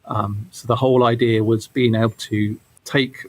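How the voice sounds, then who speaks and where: A man's voice: mid-range voice, flat delivery, normal speed, rough recording. A man speaking casually as an interview guest, explaining things as a game developer and rephrasing as he goes.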